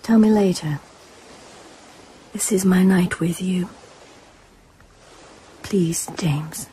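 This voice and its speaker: An actress playing a tender young romantic heroine in a radio drama, speaking in a hushed, intimate, coaxing way. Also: female voice, lowish voice, drawn-out words, slight background noise.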